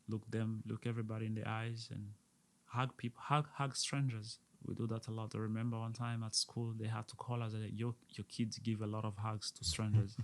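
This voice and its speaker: A middle-aged man from Burundi, a restaurant owner talking earnestly as an interview guest, often repeating words to stress a point. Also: on the low side. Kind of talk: casual interview talk.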